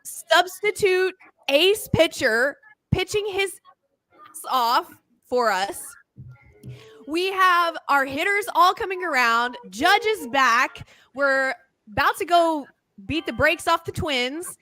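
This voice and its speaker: A woman co-hosts a casual sports talk show. Her voice is high and bright, with a dry, close sound. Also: animated, takes it slowly, no room sound, faint music behind. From southern United States.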